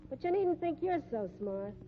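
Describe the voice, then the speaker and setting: An actress playing a brassy, suspicious, sharp-tongued carnival woman with an American accent and slangy, needling talk in a 1950s radio drama. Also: sits high, very animated, moderate pace, a little hiss or hum. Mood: indignant. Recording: dull, humming 1950s radio recording.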